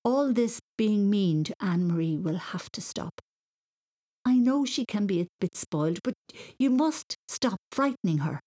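A woman's voice: on the low side, lively intonation, normal speed, a little mellow, husky. A woman voicing an exasperated storybook mother, stern and weary as she scolds. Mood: scolding.

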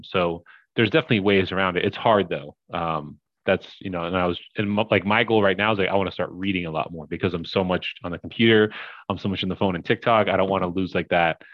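Deep-voiced young man rambling casually. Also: no echo, dull audio. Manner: rambling, filler-heavy, upbeat. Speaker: podcast guest, crypto investor. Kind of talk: casual podcast chat.

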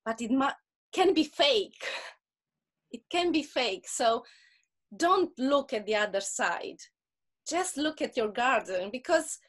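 A woman's voice: high voice, fairly bright tone. A woman with a Russian accent, a guest talking earnestly in a casual interview and often repeating words as she starts a point.

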